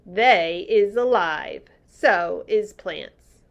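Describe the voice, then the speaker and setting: Friendly old male giant put on by a woman, earnest, tangled grammar, storybook, unhurried. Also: mid-range voice, vocal fry, lo-fi sound. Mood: solemn. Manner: muddled grammar, earnest and proud.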